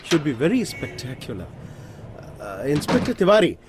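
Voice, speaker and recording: An actor playing a courteous, helpful, chatty hotel manager in a radio drama. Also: male voice, a little high, huge pitch swings, normal speed, noisy background. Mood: cheerful, obliging.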